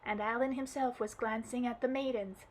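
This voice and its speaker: A woman's voice: steady tone, moderate pace, slight background noise, lightly acted, rough recording. A woman doing a tearful, wailing little girl. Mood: telling. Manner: high, sobbing, wailing delivery.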